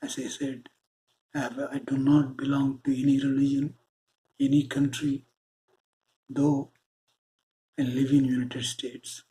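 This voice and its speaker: Indian guy giving a slow earnest spiritual talk, mellow dark voice. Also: medium pitch, flat delivery, low-quality recording. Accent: Indian English. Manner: slow, deliberate, earnest preaching with pauses. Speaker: spiritual channel host. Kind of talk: spiritual monologue.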